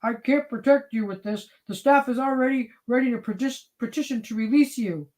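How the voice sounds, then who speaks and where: A woman's voice: a little high, fairly quick, darkish tone, close to an everyday voice. A woman playing an exasperated, accusing studio colleague in a dramatic story reading. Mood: angry, stern. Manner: stern, accusatory.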